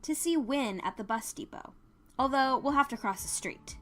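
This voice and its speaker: Narrator as perky small-town woman, kind, eager, playful, lifted pitch, audio drama, music behind. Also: expressive, normal speed, fairly bright tone. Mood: perky, teasing. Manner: upbeat, placating, playful. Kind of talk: audio fan fiction acting.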